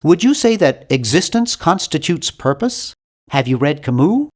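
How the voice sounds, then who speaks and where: Casting: nerdy young game hero, nasal, very animated intonation, timid, polite, hesitant, earnestly bookish. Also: male voice, on the high side, normal speed. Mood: awkward, friendly. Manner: awkward, hesitant, earnest and fussy. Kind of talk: video game character voice lines.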